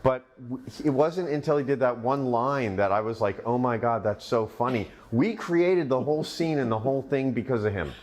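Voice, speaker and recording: A man's voice: mid-range voice, moderate pace, hardly any pauses. A man in an everyday middle register talks through his film's backstory, eager and rambling. He restarts sentences often as he tells his stories. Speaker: film director. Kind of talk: interview, storytelling anecdotes.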